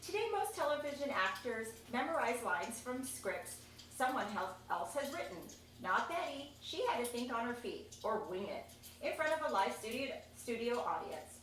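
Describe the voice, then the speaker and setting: Female storybook reader, higher-pitched and expressive, casual asides, recorded at a distance from the mic. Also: moderate pace. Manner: expressive, chatty, pointing out pictures. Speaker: reader of a children's picture book. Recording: echoey room, distant mic, faint noise.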